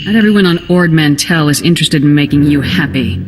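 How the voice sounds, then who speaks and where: A woman's voice: deep voice, fast, background noise, natural voice. An actress playing a resolute, dutiful female sergeant in a video game, firm and military in manner. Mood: defiant. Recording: loud music under the dialogue.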